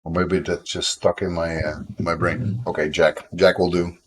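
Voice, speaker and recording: A man's voice: low-pitched, level tone, moderate pace. A Dutch man in his thirties, a game developer chatting on a live stream from home, rambling and self-deprecating with lots of fillers and restarts, coughing now and then. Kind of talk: casual live-stream chat.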